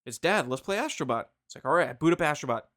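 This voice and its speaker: A man's voice: on the high side, animated, fast talker, fairly bright tone. A man hosting a podcast in casual chat, joking and rambling with lots of fillers and restarts. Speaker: podcast host.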